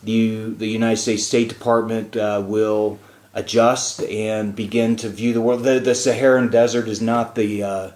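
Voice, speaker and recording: A man's voice: on the low side, level tone, unhurried, darkish tone, reverberant. A man hosting a casual talk show, explaining things in a chatty way with frequent fillers. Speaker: radio show host and diplomat.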